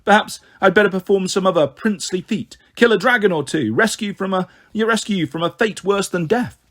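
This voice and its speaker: A man's voice: high voice, brisk, fairly bright tone, voice pushed up. A man voicing a plucky teenage boy in an audiobook, jokey and romantic, with a playful, theatrical way of talking.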